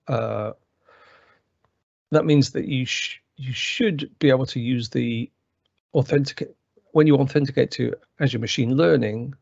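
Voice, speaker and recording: A man with a gravelly voice presenting a technical webinar walkthrough, rambling with many fillers and restarts. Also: medium pitch, darkish tone, no room sound. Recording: dry, slightly muffled webinar audio.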